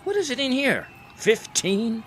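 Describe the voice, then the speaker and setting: A man's voice: very high-pitched, big pitch swings, a little slow, a crowd in the background, exaggerated voice. An actor playing a complaining, jittery, wisecracking newspaperman in a 1920s audio drama, full of slang. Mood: griping.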